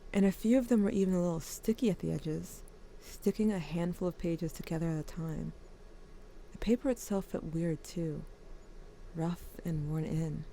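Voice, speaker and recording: A woman's voice: fairly low pitch, vocal fry, warm tone, slight background noise, rough recording. A woman acting as a young narrator recounting family memories in an intimate, wry, subdued and conversational way, in a scripted audio drama.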